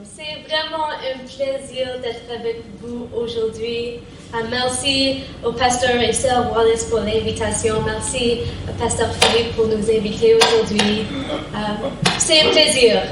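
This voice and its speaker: A woman, a guest preacher, greeting a church congregation with warm, grateful words. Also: a little high, fairly even intonation, measured pace, background noise. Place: church sanctuary. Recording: echoey church hall from far off.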